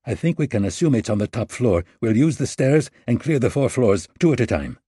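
A man voicing a cold, husky squad leader. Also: mid-range voice, very animated, a little fast, warm tone, no echo, studio quality. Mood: briefing. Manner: curt, commanding. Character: cold ruthless male hit-squad leader.

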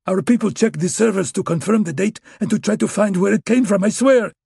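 A man's voice: medium pitch, normal speed, gravelly, darkish tone, slightly rough, no room sound, clean studio sound, pitched above the performer's own voice. A man voicing a frightened male Russian agent with a thick Russian accent, pleading. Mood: panicked pleading.

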